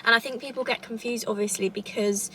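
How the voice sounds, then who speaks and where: A woman's voice: on the high side, fairly even intonation, brisk, sharp tone, a little hiss or hum, poor audio. A woman in her twenties with a southern English accent, chatting casually to camera at home in a rambling way. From Cambridgeshire, England. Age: 20s. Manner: chatty, rambling, lots of "like" and "obviously". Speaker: vlogger. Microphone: camera in a holder.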